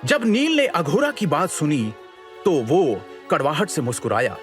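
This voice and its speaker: Male narrator, slightly high voice, scripted dramatic storytelling, audio series style, soft music bed. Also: huge pitch swings, unhurried. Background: background music.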